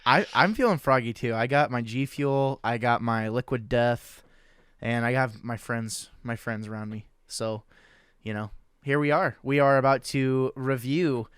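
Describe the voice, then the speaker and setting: Casting: young man, podcast host, smooth and clear voice, dry close sound, loose and jokey. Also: medium pitch, a little slow, fairly bright tone, no room sound. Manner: loose and joking, with many restarts. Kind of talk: casual podcast chat.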